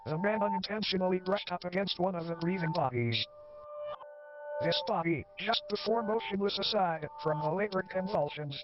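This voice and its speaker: Lo-fi, high-voiced actor as eerie horror narrator. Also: male voice, close to an everyday voice. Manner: measured, formal delivery with wry asides. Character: wry, eerie male narrator of a creature compendium. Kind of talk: scripted horror documentary narration. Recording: band-limited lo-fi sound, loud music behind. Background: music.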